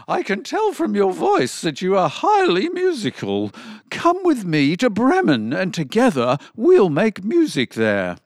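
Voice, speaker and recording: A man's voice: high voice, huge pitch swings, slow, gravelly, no echo, studio quality, voice pushed up, heavily acted. A man voicing a cheerful, encouraging old donkey in a children's storybook, exclaiming with enthusiasm. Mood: eager, encouraging. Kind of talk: animated children's storybook character voice.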